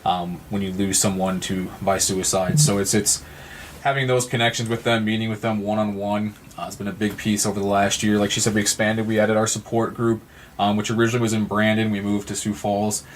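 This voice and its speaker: A man's voice: on the low side, flat delivery, fairly quick, a little hiss or hum. An American man giving conversational answers as an interview guest on a podcast. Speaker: podcast guest, nonprofit founder.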